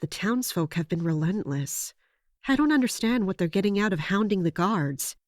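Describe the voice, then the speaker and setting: A woman plays a weary female captain in an audio drama. She is restrained and formal, with a guarded, quietly suspicious edge. The sound is close and dry, at a middle pitch. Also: lively intonation, normal speed, dry recording, natural voice. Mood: weary, worried.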